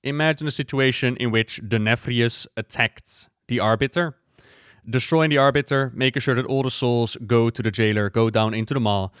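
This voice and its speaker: A man giving casual, excited and conversational reaction commentary as a gaming lore creator. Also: mid-range voice, normal speed, clear, resonant tone, no room sound, clean studio sound.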